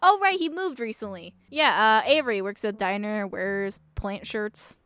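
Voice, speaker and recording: Female game streamer, mid-range pitch, casual and playful, quick to get excited while commenting on play. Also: clean tone, no echo. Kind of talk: casual playthrough commentary, reading game text aloud.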